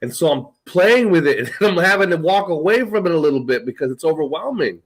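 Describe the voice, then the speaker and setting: A middle-aged man hosting a podcast in casual chat, animated, swearing and laughing often. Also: fairly high pitch, fairly quick, husky. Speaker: podcast host.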